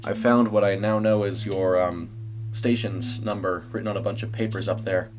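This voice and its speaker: A man voicing a nervous, grieving phone-in caller who stammers quietly in a strained, worn voice for an audio story. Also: on the low side, steady tone, moderate pace, natural voice. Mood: grieving, awkward. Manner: hesitant, stammering.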